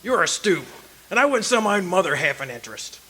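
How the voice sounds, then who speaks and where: A man playing a gruff, unbudging tree-lot seller in an old-time radio comedy, retorting bluntly. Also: high-pitched, big pitch swings, brisk, sharp tone, exaggerated voice. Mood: blunt, stubborn. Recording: noisy live stage performance.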